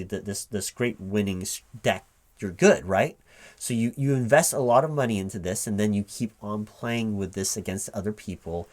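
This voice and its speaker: A man speaking as a recruiting manager in a one-sided ASMR interview roleplay, questioning in a halting, puzzled way. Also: fairly low pitch. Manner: halting, puzzled questioning, checking back with "right?". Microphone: close microphone with typing sounds behind. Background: computer keyboard typing.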